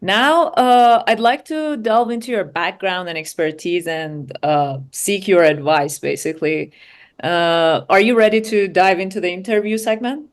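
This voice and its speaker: A woman's voice: medium pitch, unhurried. A woman hosting an online seminar, thanking the speaker and asking formal, scripted-sounding interview questions. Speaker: interviewer, host.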